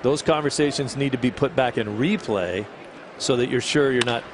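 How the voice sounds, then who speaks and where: A man's voice: mid-range voice, moderate pace, background noise. A man talking as a baseball color analyst in the booth, rambling through anecdotes with repeated words. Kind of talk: broadcast booth banter.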